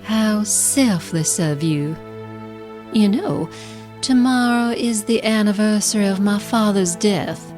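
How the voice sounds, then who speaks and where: A woman's voice: mid-range voice, slow, a little mellow, close to an everyday voice. An actress in an audio drama playing a middle-aged wife and mother, weary and guarded, with a wry restraint. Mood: coolly probing. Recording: music under the dialogue.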